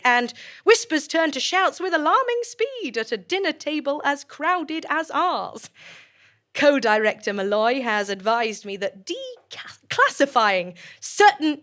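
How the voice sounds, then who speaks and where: A woman playing a formal, composed director of a sinister research facility, giving measured, officious speeches to staff in an audio drama. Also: sits high, fairly bright tone, heavily acted.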